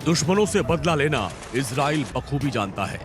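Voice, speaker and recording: A man's voice: fairly high pitch, expressive, moderate pace. A man narrating a news feature in a dramatic, emphatic scripted style. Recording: voice-over with loud music bed.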